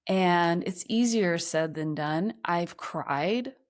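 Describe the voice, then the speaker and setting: A woman from Ukraine, in her thirties, telling her personal story as a podcast guest in a reflective, earnest way that slows on emotional points. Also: lowish voice.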